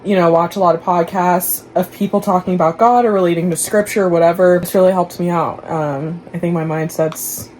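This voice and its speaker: Young woman, Dallas-raised, Igbo first language, vlogger; low voice, candid chatty delivery, light background noise. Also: fairly even intonation, a little fast, few pauses, a little mellow, low-quality recording. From Dallas, Texas. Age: young adult. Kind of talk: casual vlog chat to camera.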